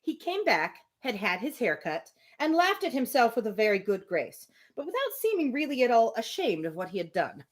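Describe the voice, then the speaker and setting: Animated, high-voiced woman reading aloud. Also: a little fast, hardly any pauses. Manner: expressive reading, breaks off for informal amused commentary. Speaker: host reading a novel aloud on a livestream. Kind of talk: live audiobook-style reading with casual asides.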